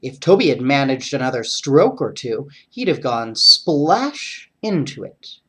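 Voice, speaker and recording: Bedtime story reader, slow pace, super animated, talks to the kids listening. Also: mid-range voice, husky. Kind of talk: animated storybook narration with chatty asides to children.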